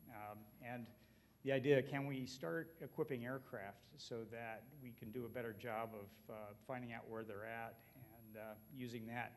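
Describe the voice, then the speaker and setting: A man with an American accent giving an informal conference presentation, speaking conversationally with frequent filled pauses. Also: mid-range voice, darkish tone. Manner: conversational, colloquial.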